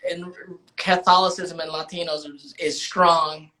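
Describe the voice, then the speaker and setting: A woman's voice: lowish voice, little pitch movement, a little slow, sharp tone, slight background noise, rough recording. A woman from the United States hosting a film club chat, loose and chatty with lots of fillers and restarts. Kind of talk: casual livestream chat.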